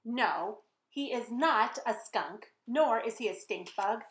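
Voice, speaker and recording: A woman reading a picture book aloud to children in an expressive way, speaking to her young listeners directly. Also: a little high, slow pace. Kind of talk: animated children's story read-aloud. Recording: roomy echo.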